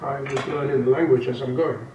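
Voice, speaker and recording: A man's voice: mid-range voice, fairly even intonation, fairly quick, crowd noise. A man in his eighties whose first language is Welsh, an experienced snooker coach and referee looking back on his career in an interview, talking unhurriedly with many ums and restarts. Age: 80s. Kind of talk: interview, reminiscing. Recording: echoey room, distant mic, background noise.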